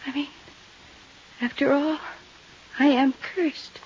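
An actress playing a fragile, unhinged wealthy widow in a 1950s radio drama, rambling in halting, repetitive phrases. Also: female voice, high voice, fairly even intonation, darkish tone. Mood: dazed, wistful. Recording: muffled, hissy 1950s radio recording.

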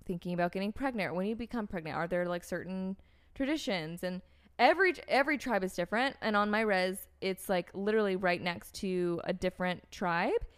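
An American woman in young adulthood speaks in an easygoing, conversational way. Her voice is smooth and clear at a middling pitch. She wanders through her thoughts, often restarting and filling gaps with "like" and "um.". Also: a little fast. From United States. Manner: rambling, heavy use of "like" and "um", frequent restarts. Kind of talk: casual conversational storytelling.

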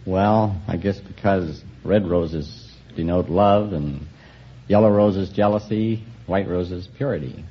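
Low-voiced man, terse old radio contestant. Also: slow, husky. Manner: brief, matter-of-fact answers. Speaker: florist, quiz show contestant. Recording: muffled vintage radio broadcast, faint noise.

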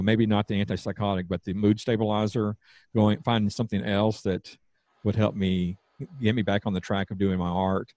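A man with a Southern accent, a podcast guest speaking in casual conversation with slightly slurred, halting speech. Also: fairly low pitch, darkish tone, dry recording.

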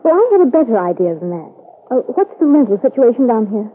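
A woman's voice: a little high, very animated, quick pace, slightly rough. An actress playing a breezy, self-assured, sociable married woman on vacation in an old radio soap. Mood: casual, persuasive. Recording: muffled narrow-band vintage radio recording.

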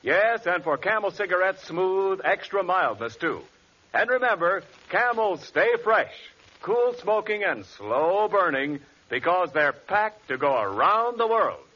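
A man's voice: high voice, sing-song, a little slow, bright, forceful tone. A man working as a radio announcer, delivering emphatic, polished 1940s-style commercial copy. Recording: muffled 1940s radio recording.

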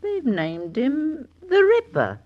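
A woman's voice: high-pitched, wildly swooping pitch, slow pace, heavily acted. Wildly swooping gossipy Cockney landlady. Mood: morbid relish. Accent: London Cockney. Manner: gossipy, relishing, theatrical. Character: gossipy, nosy older London landlady, slyly morbid. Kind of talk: old-time radio drama acting. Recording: dull vintage radio recording.

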